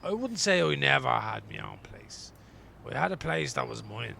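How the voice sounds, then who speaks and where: A man acting an amiable middle-aged homeless Dubliner in a radio play, with a gravelly voice and halting, rambling manner. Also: mid-range voice, sing-song, pushed and bright, slight background noise, heavily acted. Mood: fond, proud. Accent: Dublin Irish. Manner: halting, rambling, good-natured.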